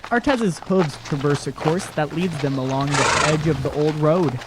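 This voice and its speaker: A man's voice: on the high side, moderate pace, heavy background noise. A man reading scripted dramatic narration as the narrator of an audio drama.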